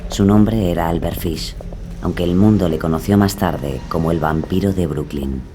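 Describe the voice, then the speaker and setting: A man delivering scripted true-crime narration in a solemn, measured manner. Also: low voice, background noise.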